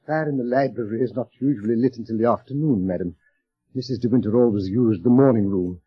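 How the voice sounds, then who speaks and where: An actor playing a deferential, kindly old butler in a 1930s radio drama, formal and courteous with a husky voice. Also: male voice, mid-range voice, fast talker, no room sound, close to an everyday voice. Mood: deferential, polite. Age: older. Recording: dull vintage radio broadcast.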